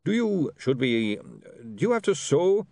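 A man acts out a practical storybook dwarf foreman. He is gruff, blunt and firm, with animated pitch in a very dry recording. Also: slow, no echo, heavily acted. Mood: awkward.

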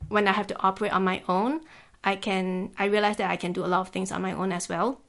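A relaxed woman in her thirties, talking as a podcast guest in an office. She speaks at an average pitch with a loose, chatty rhythm, scattering "like" through her sentences and laughing easily. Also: quick pace, gravelly. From Singapore. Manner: easygoing, peppers speech with "like", laughs often. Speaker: guest, climbing guide. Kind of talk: casual interview chat.

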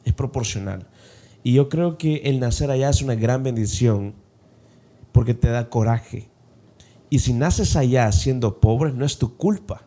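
A man's voice: mid-range voice, measured pace, warm tone. A man hosting a podcast interview, affirming his guest often and asking long, searching questions. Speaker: podcast host. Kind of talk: casual interview talk.

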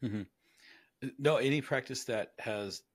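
A man hosting a casual interview podcast, speaking haltingly and often repeating words as he works out a thought. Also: mid-range voice, slightly rough, no echo. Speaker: podcast host.

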